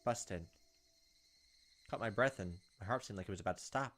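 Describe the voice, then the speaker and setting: A man's voice: fairly low pitch, moderate pace, a bit bright. A man hosting a gaming video, reading story text aloud expressively and dropping in casual asides.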